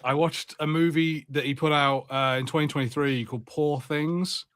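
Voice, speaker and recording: A man's voice: fairly high pitch, steady tone, normal speed, fairly bright tone, clear, resonant tone, no echo. A man co-hosting a podcast, chatting casually, correcting himself as he goes and swearing freely. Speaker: podcast co-host. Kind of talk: casual chat.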